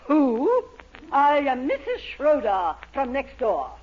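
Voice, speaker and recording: An actress playing a prim, indignant middle-aged female neighbor, with huffy lines and wide swings in pitch. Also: wildly swooping pitch, slow pace, full character voice. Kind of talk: 1940s radio comedy acting. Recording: dull old radio recording with hiss.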